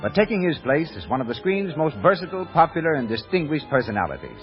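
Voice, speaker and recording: Lively 1930s American radio announcer, male. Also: a little high, quick pace, soft background music. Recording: muffled 1930s radio broadcast recording.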